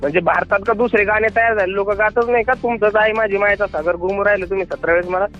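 A man's voice: sits high, fairly even intonation, fast. A man arguing insistently and repetitively on a phone call. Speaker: kirtankar, devotional performer. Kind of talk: heated argument on a phone call. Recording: dull phone call recording with music.

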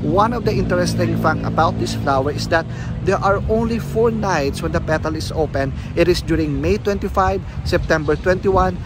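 A man narrating a travel guide as a vlogger, presenting sights to visitors. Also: mid-range voice.

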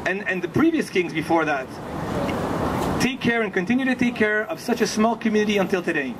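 Middle aged guy from morocco doing a rally speech, pretty high voice, earnest n deliberate, really noisy street behind him. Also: expressive. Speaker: humanitarian organization founder, rally speaker. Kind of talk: prepared public speech at a rally. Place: outdoors on a city plaza. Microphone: handheld microphone. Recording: noisy outdoor street recording. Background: loud street traffic noise.

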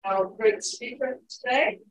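A woman reading a speaker's introduction from a sheet in a church, measured and plain. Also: fairly high pitch, poor audio. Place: church sanctuary.

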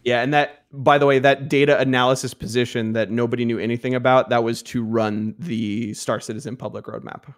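A man's voice: medium pitch, level tone, a little fast, hardly any pauses. A young man, a guest on a podcast, talking casually over a video call and hesitating often. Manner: hesitant, many fillers. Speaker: guest, community team member. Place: home room, indoors. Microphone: headset over ears, video call.

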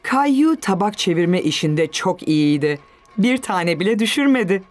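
A woman's voice: mid-range voice, expressive, measured pace, close to an everyday voice. A woman reading scripted narration for a children's cartoon. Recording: music under the voice.

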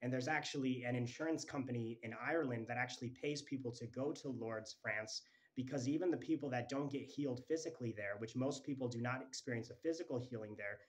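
A man in his thirties speaks straight into a phone held close to his face in a small room at home. His delivery is fervent and rambling, stringing claims together with restarts and "and"s, at an ordinary middle pitch. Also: fast, rough recording. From Twin Cities, Minnesota. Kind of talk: earnest religious monologue to camera. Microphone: phone held close, selfie framing.

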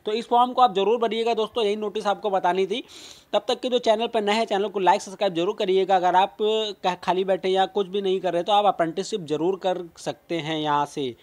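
A man's voice: sits high, steady tone, brisk, darkish tone. A man hosting a job news channel, casually walking viewers through a recruitment notice, mixing English phrases into his Hindi and often restarting his sentences. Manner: casual, filler-heavy reading with frequent restarts. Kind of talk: screen-recorded walkthrough of a recruitment notice.

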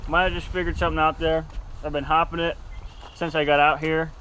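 Young dude fishing vlog, chill and chatty, windy outdoor cam mic, wind noise all over. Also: male voice, fairly high pitch, flat delivery, fairly quick, pushed and bright, rough recording. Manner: laid-back, chatty, swears when cold. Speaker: fishing vlogger. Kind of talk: casual vlog chat. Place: outdoors on a windy dock by a canal. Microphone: action camera, held or set nearby.

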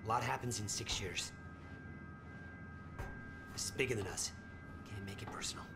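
A frazzled sardonic scientist in a game, anxious and exasperated, music behind. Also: male voice, on the low side, normal speed, creaky. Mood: agitated, regretful. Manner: agitated, sardonic, technical. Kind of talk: video game voice acting.

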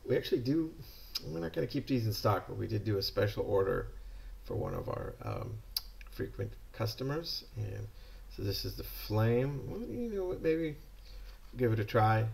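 A man's voice: on the low side, very animated, moderate pace, vocal fry, a little mellow. A middle-aged American man from California, a yo-yo shop owner, chatting casually and enthusiastically while unboxing products on a desk camera indoors. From California, USA.

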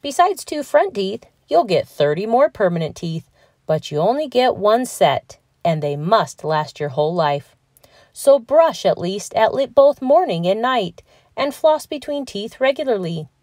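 A woman narrating a children's picture book aloud in a scripted read-aloud style, with clearly enunciated words and expressive emphasis. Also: mid-range voice, a little slow, no room sound, clean studio sound.

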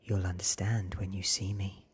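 A man voicing a cool, commanding, secretive vampire woman from a storybook in a clipped, breathy ASMR whisper. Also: sits low, flat delivery, normal speed.

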